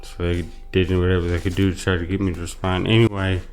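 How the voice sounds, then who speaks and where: A man giving earnest personal testimony as an interview guest, speaking haltingly with some repeated words. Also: low-pitched, flat delivery, darkish tone. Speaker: interview guest, brain injury survivor.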